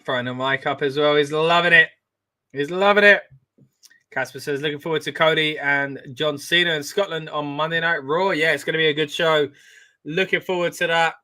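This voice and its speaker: A man hosting a live watch-along, chatting excitedly and reacting as he reads out viewer comments. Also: on the high side, fairly quick. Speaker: wrestling watch-along host.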